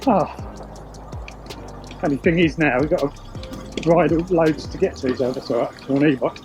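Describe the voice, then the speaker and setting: A man's voice: a little high, normal speed. A British man talking casually and unscripted outdoors as he tests some gear on a wireless headset. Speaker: vlogger testing a wireless intercom. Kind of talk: casual unscripted chat while testing gear. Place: outdoors by a riverside path. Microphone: wireless intercom headset. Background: music.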